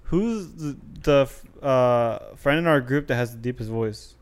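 A man's voice: medium pitch, unhurried, clear, resonant tone. A young man co-hosting a podcast who talks bluntly and calls everyone dude and bro. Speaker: podcast co-host. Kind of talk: casual banter among friends.

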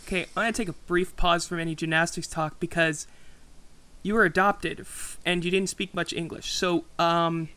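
A man's voice: fairly high pitch, fairly even intonation, normal speed, sharp tone, clean tone. A man hosting an interview podcast, introducing his guest and asking questions with a few uh fillers. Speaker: podcast host.

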